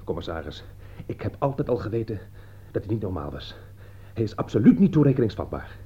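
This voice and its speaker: A man's voice: lowish voice, sing-song, a little fast, warm tone, breathy edge, exaggerated voice. A man acting in a radio play as an anxious, indignant friend turned accuser, agitated and reproachful. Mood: indignant, accusing. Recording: dull lo-fi radio recording.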